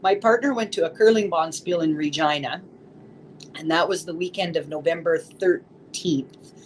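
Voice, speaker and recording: A woman in her forties with a lowish voice talks steadily, filling pauses with ums and uhs. Faint background noise sits behind her. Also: poor audio. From Saskatchewan, Canada. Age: 40s. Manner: steady, with frequent fillers. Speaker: office administrator interviewee. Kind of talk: interview recollection.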